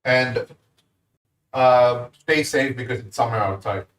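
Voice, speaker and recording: A man's voice: mid-range voice, slow pace. A man with an Indian accent, casually chatting with viewers while cooking on a live stream. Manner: easygoing, chats with viewers while working. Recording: roomy sound, mic at a distance.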